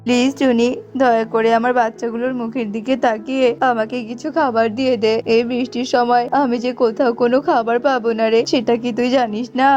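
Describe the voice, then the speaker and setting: Cartoon male crow, idle and needy, wheedling, highish pitch over faint music; woman performer. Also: little pitch movement, a little fast, few pauses, a little mellow, muffled recording, lightly acted. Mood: pleading.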